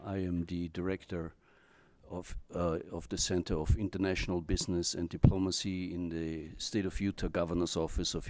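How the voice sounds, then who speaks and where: Hesitant, low-voiced older man from Austria. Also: darkish tone, no echo. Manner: halting, with drawn-out hesitations. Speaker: state trade director. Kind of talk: informal welcome speech at a meeting.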